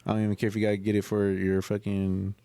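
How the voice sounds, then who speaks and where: A man's voice: lowish voice, completely flat delivery, fast, gravelly, warm tone. A man co-hosting a podcast in casual, slangy, profane chat. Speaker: podcast co-host.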